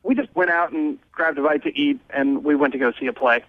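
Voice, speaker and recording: Male radio caller, higher-pitched, hesitant and evasive, dry narrow phone line. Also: fairly quick, no room sound. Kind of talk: casual storytelling. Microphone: phone call.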